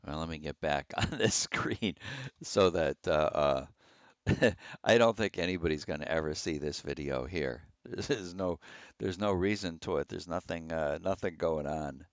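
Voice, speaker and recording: A man's voice: low voice, a little slow, no echo, muffled recording. A man chatting casually on a livestream as a radio hobbyist host, rambling in a folksy, hesitant way.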